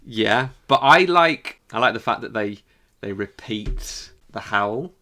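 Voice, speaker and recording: Bloke on a podcast, bit low but bright forward voice, chatting casually. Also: male voice, animated, takes it slowly. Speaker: podcast co-host. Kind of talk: casual chat.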